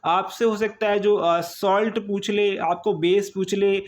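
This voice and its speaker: A high-voiced man works through an informal exam coaching lesson. He explains step by step, often repeating himself and posing small questions that he answers himself. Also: steady tone, unhurried. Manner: repetitive explaining, checking with short tags and rhetorical questions.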